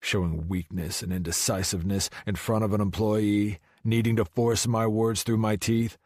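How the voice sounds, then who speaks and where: A man's voice: lowish voice, flat delivery, normal speed, darkish tone, no echo, clean studio sound. A man narrating a romance audiobook in the first person, in a brooding, terse manner.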